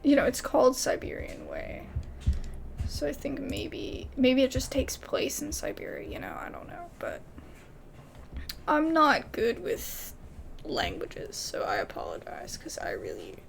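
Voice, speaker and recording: Female let's-play streamer: high register, fry, casual and playful, reads dialogue aloud, faint noise behind. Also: big pitch swings, a little slow. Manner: playful, apologetic asides. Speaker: gaming streamer.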